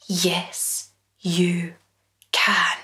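A Scottish woman voicing a steely, proud mother who speaks in a hushed, clenched way in a story reading. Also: on the low side, completely flat delivery, extremely slow, exaggerated voice. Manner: hushed, through clenched teeth. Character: steely, proud mother urging her son on.

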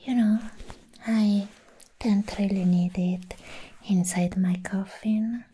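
A woman whispering gently and playfully in an ASMR roleplay as a sweet, friendly vampire girl, breathy and soothing with long pauses. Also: medium pitch, fairly even intonation, rough recording. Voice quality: breathy, mostly unvoiced whisper.

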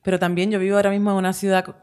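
A woman's voice: mid-range voice, level tone, very fast, darkish tone. A woman in her forties with a Puerto Rican accent, chatting candidly as an interview guest, talking with her hands and slipping into English phrases. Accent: Puerto Rican Spanish. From Puerto Rico. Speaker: guest, presenter and actress. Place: small studio with a table. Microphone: table mic on a stand.

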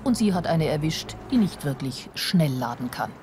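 A woman's voice: low-pitched, very animated, moderate pace, background noise. A woman with a standard German accent reads scripted television documentary narration. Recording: music behind the voice. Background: background music.

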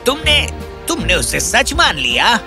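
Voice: medium pitch, huge pitch swings. A performer voicing a sarcastic, easily shocked little pet parrot in a cartoon fairy tale, with squawky, complaining exclamations. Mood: shocked disbelief. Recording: music behind the voice. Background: music.

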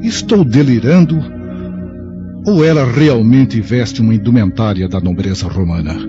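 An actor playing an inquisitive Roman soldier in a radio drama. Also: male voice, fairly low pitch, animated, normal speed, natural voice. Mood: incredulous. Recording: dull audio with music behind.

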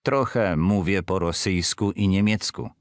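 A man with a lowish voice reads Polish course phrases carefully and clearly, one at a time. The recording is dry and close. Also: normal speed, no room sound. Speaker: Polish-side speaker of a language course. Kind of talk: slow scripted phrase reading.

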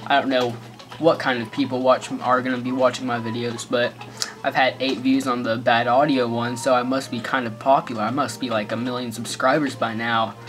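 A man's voice: mid-range voice, flat delivery, brisk, fairly bright tone. A man with an American accent giving goofy, rambling let's play commentary full of sarcastic jokes. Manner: joking, rambling, sarcastic asides. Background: faint game music.

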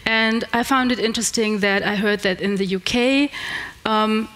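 A woman's voice: mid-range voice, normal speed, fairly bright tone. A middle-aged woman with a German accent, giving a conference talk and taking questions, hesitant with many fillers and restarts. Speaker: conference speaker. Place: large indoor stage. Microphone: headset.

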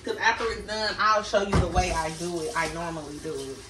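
A woman's voice: fairly low pitch, fairly even intonation, a little fast, over loud music. Chatty woman talking to a kitchen camera. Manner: chatty, addresses viewers as y'all. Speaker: vlogger. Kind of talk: casual cook-with-me chat. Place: small home kitchen. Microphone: camera across the kitchen. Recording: roomy kitchen audio from a distant camera, running water.

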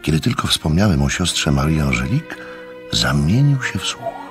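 A reflective gentleman storyteller in a radio drama. His voice is extremely deep and gravelly, with lively swings in pitch. He speaks in measured, courteous, literary phrases. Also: faint music behind, full character voice. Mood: reflective, descriptive. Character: courteous first-person gentleman narrator, reflective and observant. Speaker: narrator and visitor in a radio play.

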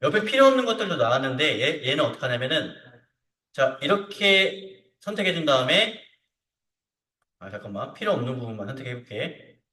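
Bright-voiced male software instructor, animated, roomy. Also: medium pitch, a little fast. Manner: informal, frequently seeks confirmation with short tag questions. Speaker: CAD instructor. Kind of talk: hands-on software tutorial to students. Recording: roomy echo, distant mic.

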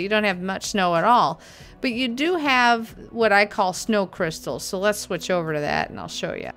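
A woman's voice: mid-range voice, fairly bright tone. Chatty upbeat woman reviewing a tool. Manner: chatty and upbeat, laughs often, with frequent uh fillers. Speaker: prop maker reviewing a tool. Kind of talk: casual product review with voice-over. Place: home workshop studio, indoors.

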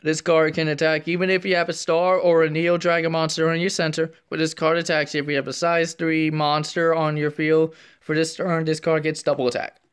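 A man quietly narrating a trading card pack opening, half whispering as he reads card names and comments on them, recorded indoors at a table on a phone camera. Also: on the high side, level tone, brisk, hardly any pauses, clear, resonant tone. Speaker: card unboxing youtuber.

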